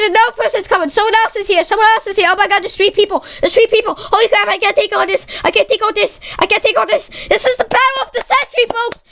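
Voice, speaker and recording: A woman giving excited live commentary on a game she is playing with a friend, repeating her warnings and saying "dude" again and again. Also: very high-pitched. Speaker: YouTube gamer.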